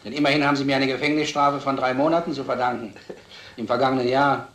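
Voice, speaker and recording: An actor playing an earnest, stubborn police detective, speaking formally and with measured insistence in an old crime film. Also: male voice, medium pitch, fairly even intonation, fairly bright tone, natural voice. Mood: sober, doubting. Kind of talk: vintage German crime film acting. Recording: roomy old film soundtrack.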